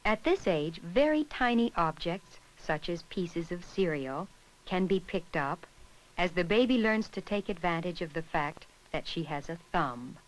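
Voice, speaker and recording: Low-pitched woman narrating an educational film. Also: animated, low-quality recording. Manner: measured, instructional.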